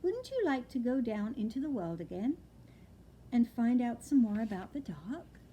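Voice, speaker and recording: A motherly barn owl, voiced by a woman reading a storybook, with a mellow tone and a gentle but firm manner. Her pitch rises and falls in a lively way, and faint noise sits behind the voice. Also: moderate pace.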